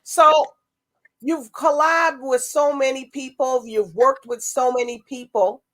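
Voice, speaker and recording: A woman's voice: high voice, steady tone, unhurried, a bit bright. A woman hosting a casual interview, putting in short responses and questions. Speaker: interview host.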